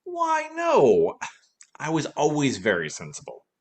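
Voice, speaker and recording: A man's voice: fairly high pitch, huge pitch swings, a little slow. A man playing a wry, wisecracking, tipsy former detective in a vintage-style radio play. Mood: amused, teasing. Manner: wisecracking, teasing.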